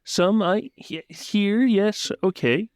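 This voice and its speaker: A player putting on a grandiose tailor in a tabletop game, selling with lofty, drawn-out flourish. The voice is mid-pitched and recorded very dry. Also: a little mellow, smooth, dry recording, close to an everyday voice. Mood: lofty, obliging. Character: grandiose, artful tailor pushing a sale.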